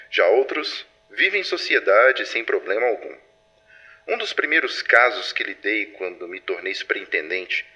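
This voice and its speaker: A man plays the superintendent of a secret supernatural patrol in an audio drama. He tells his files with grave composure in a mid-pitched, crisp-edged bright voice, and his intonation moves widely. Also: rough recording. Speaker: narrator. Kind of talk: scripted audio drama narration.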